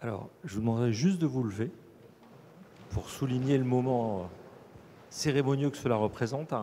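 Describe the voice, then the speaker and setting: Man giving solemn formal award speech, dark mellow voice, big reverby hall. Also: mid-range voice, normal speed, slight background noise. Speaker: university president. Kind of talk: formal ceremonial address. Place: ceremony hall.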